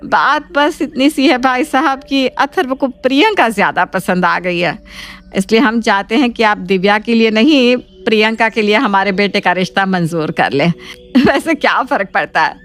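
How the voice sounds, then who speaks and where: A woman's voice: on the high side, expressive, normal speed, a bit bright. A woman playing an assertive middle-aged mother in a cartoon family drama, firm and persuasive. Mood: persuasive, brazen.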